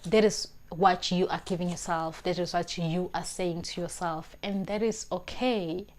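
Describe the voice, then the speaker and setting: A woman's voice: fairly low pitch, steady tone, unhurried. Earnest South African woman in her twenties. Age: 20s. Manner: earnest, emphatic, repeats phrases while searching for words. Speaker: vlogger. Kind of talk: talking-to-camera life advice. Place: small indoor room, plain wall. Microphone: lapel mic.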